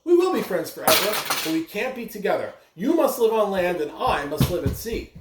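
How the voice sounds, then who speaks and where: A reader voicing a big-hearted, friendly whale in a children's storybook, with earnest, expressive delivery. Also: mid-range voice, fairly quick, recorded from a distance, close to an everyday voice. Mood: fond, wistful. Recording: roomy echo, clear background noise.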